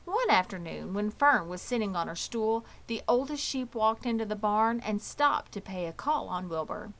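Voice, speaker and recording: A woman reading a children's story aloud as scripted narration, with expressive storytelling delivery. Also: mid-range voice, normal speed. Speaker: audiobook reader.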